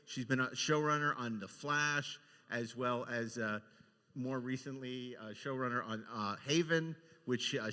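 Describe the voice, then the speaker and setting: A man's voice: medium pitch, pushed and bright. Enthusiastic man hosting in an echoey hall. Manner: enthusiastic, effusive. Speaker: podcast and convention panel host. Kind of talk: unscripted hosting and guest introductions. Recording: echoey hall with long reverb.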